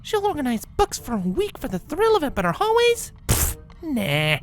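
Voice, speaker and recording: A man's voice: extremely high pitch, huge pitch swings, normal speed, soft background music, pitched above the performer's own voice, heavily acted. A man voicing a vengeful young female cartoon pony in a storybook reading, sarcastic and muttering to herself. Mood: grumbling.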